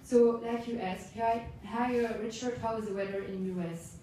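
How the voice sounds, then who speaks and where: A woman's voice: fairly high pitch, fairly even intonation, normal speed, mellow. A young woman from Belgium whose first language is Dutch, giving a workshop session to a class in simple, careful English and asking the room questions. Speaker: intern presenter. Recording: echoey room, distant mic, faint noise.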